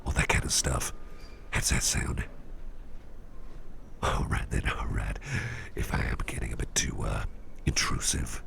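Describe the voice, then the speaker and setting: A man performing an ASMR roleplay as an attentive boss, speaking in a gentle, reassuring, breathy half-whisper with an unhurried delivery. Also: extremely low pitch, fairly even intonation, a little hiss or hum. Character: soft-spoken, attentive businessman boss who researches legendary artifacts. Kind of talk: ASMR roleplay, intimate one-sided chat.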